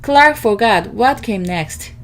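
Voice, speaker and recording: A woman reading a picture book aloud to children in expressive narration. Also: mid-range voice, takes it slowly. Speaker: storybook reader.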